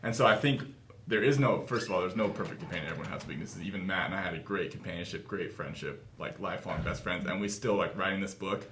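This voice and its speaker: A man's voice: sits low, fast, background noise. A man in his twenties chatting casually as a guest in an interview, seated in a meeting room. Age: 20s. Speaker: author and guest. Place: small office meeting room, seated at a table.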